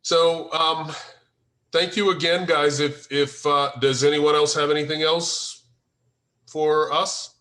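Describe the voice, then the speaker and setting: A man hosting an informal group discussion, explaining things in an earnest, deliberate way. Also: on the high side, steady tone, pushed and bright. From United States. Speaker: host and co-writer of a script table read.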